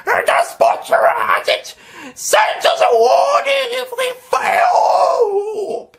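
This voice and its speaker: Screechy slow alien monster, way up high, yelling. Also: male voice, creaky, heavily acted. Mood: agonised. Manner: wailing, shrieking and slow. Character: monstrous alien plastic-controlling creature.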